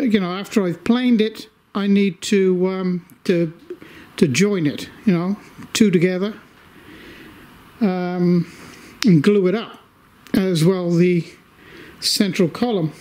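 An older man giving a casual update from his home workshop, talking slowly with lots of ums and restarts while holding the camera. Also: sits high, a little mellow. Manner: slow, rambling, many fillers. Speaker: workshop video maker.

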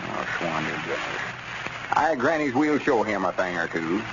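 An actor playing a scheming, self-important older storekeeper with a folksy, grumbling rural Arkansas drawl in an old radio comedy. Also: male voice, deep voice, sing-song, normal speed, gravelly, heavily acted. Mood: disgruntled. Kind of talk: 1930s-40s radio comedy acting. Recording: muffled, noisy old radio recording.

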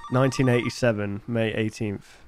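A man's voice: fairly low pitch, fairly even intonation, moderate pace, a loud crowd. A man in his twenties giving casual reaction commentary into a close desk microphone. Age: 20s. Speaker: reaction video host. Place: bedroom gaming setup, indoors.

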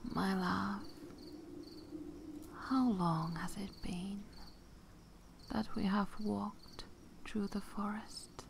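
An ancient vampire mistress played by a woman speaks in a breathy whisper, extremely slowly, with a creaky edge. She is seductive, proud and vengeful, pausing dramatically in an ASMR roleplay over faint background noise. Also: medium pitch, steady tone. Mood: tender, intimate. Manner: slow, deliberate, dramatic pauses.

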